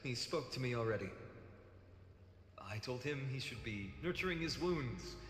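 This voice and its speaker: A man's voice: medium pitch, animated, moderate pace, a little hiss or hum, lightly acted. An actor playing an earnest, composed young male hero in a fantasy game. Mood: calm, resolute. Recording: echoey hall, long reverb, music.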